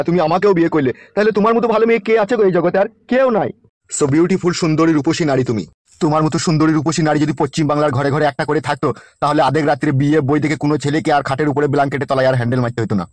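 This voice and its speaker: Cartoon politician, male actor, crude smooth talker, quick delivery, close dry sound, West Bengal Bengali accent. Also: on the high side, steady tone, dry recording, close to an everyday voice. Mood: sweet-talking, flirtatious. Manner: crude, brash, smooth-talking. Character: scheming, lecherous, bossy male politician. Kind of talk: comedy cartoon voice acting.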